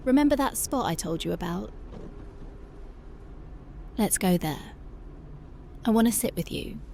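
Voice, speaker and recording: A woman playing a gravelly, slow punk girlfriend. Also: medium pitch, animated, slight background noise. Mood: tender, dreamy. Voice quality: soft, intimate, partly whispered. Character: tough, devoted young punk girlfriend, tender at night. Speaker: voice actress. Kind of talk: ASMR girlfriend roleplay.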